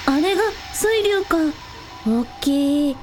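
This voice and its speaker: Woman as a high-voiced, spirited young idol. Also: animated, slow pace, music in the background. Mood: amazed. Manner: girlish, informal. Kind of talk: radio drama acting.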